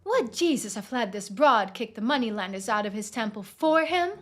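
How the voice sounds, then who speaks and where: A woman's voice: fairly high pitch, lively intonation, normal speed, a bit bright. A woman voicing a brash, wisecracking male alpaca in a video game, with insistent tough-guy talk.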